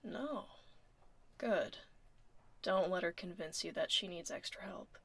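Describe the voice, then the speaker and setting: Female dragon office lady, played by an actress, ASMR roleplay. Lowish voice, heavy fry, casual dry delivery, blunt yet caring. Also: slow, heavily acted. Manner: casual, dry, low-key, softly spoken.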